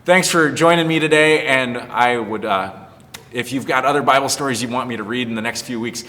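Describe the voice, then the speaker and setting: A man's voice: medium pitch, pushed and bright. A man speaking as a pastor in a casual children's storytime, explaining things simply. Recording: reverberant, echoing room.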